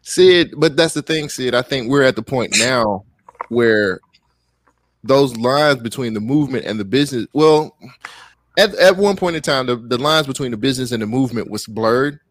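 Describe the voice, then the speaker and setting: A man's voice: mid-range voice, animated, moderate pace, dry recording. A man hosting a podcast, chatting casually and teasing his co-host with jokes. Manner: teasing, joking. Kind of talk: casual chat.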